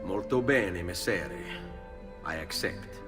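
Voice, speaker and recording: A man's voice: deep voice, big pitch swings, takes it slowly, recorded from a distance, music in the background, heavily acted. A man playing a cool, confident young assassin in a video game, with an Italian accent. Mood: confident, ready. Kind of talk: video game cutscene acting.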